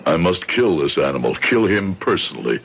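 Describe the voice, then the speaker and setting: An actor playing a grieving immigrant father set on revenge in an old radio drama, speaking in solemn, deliberate, formal phrases. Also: low-pitched, big pitch swings, creaky, a bit bright, slightly rough, exaggerated voice. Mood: grimly determined. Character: grieving immigrant father, shoe repairman, bitter and bent on revenge. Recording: dull, noisy old radio broadcast.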